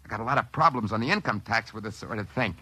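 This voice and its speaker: An American actor playing an excitable, work-shy schemer who stammers when flustered, in mid-century radio drama style. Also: male voice, fairly low pitch, fast, husky, dry recording, exaggerated voice. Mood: smug, musing. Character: work-shy scheming dreamer who finds a wishing machine. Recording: vintage radio recording with faint music.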